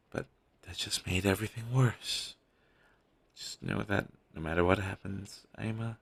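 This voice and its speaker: Man acting as husky, low-voiced devoted talent agent. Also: heavily acted. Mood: regretful, tender. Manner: earnest, hesitant, reassuring. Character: devoted, apologetic male talent agent, earnest and caring. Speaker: amateur voice actor in a scripted audio roleplay. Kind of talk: scripted audio roleplay, intimate one-sided dialogue. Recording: low-quality amateur recording.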